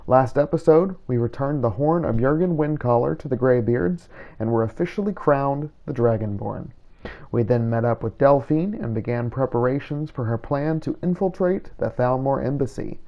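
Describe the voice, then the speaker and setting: A man's voice: mid-range voice, normal speed. A man hosting a game playthrough, reading a scripted recap as narration. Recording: dull, slightly lo-fi voice track.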